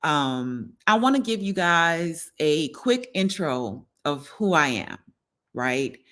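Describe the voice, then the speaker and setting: A woman's voice: deep voice, lively intonation, takes it slowly, a bit bright. A middle-aged woman, chatting casually to her livestream viewers and often checking in with them as she hosts. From New Orleans, United States. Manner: conversational, addresses viewers directly, often seeks agreement. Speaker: wellness livestream host.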